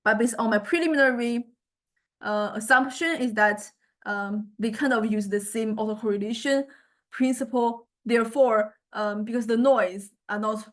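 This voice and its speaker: A woman with a fairly high voice gives an informal software lecture. She thinks aloud as she goes, explaining things tentatively and in halting phrases, like an instructor walking a class through a demo. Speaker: music technology instructor. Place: recorded software lesson.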